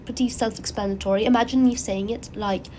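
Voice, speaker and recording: A woman with a cold, her voice raspy and congested, chatting casually and rambling animatedly as the host of her own channel. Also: a little high, brisk, a little hiss or hum. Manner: rambling, animated, frequent fillers and restarts.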